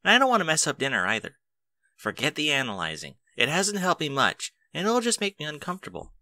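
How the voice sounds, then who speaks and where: A man's voice: a little high, wildly swooping pitch, fast, pushed and bright, no echo, voice pushed up, exaggerated voice. A man voicing an earnest, bookish young female unicorn pony in an audiobook story, with a fussy, precise manner. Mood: anxious, hopeful.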